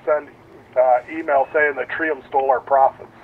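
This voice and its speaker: A man's voice: mid-range voice, steady tone, moderate pace. A man from the United States chatting casually with other gamers over online voice chat. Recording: tinny voice-chat line, noisy, distant.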